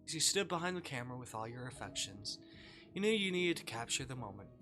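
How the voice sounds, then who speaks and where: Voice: medium pitch, sing-song, quick pace, over music. A streamer narrating a visual novel live, reading aloud with casual asides and the odd stumble over a line.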